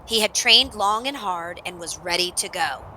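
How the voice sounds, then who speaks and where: A woman narrating a children's story in an expressive read-aloud style, with dramatic emphasis on action words. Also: fairly low pitch, normal speed, a little hiss or hum, low-quality recording.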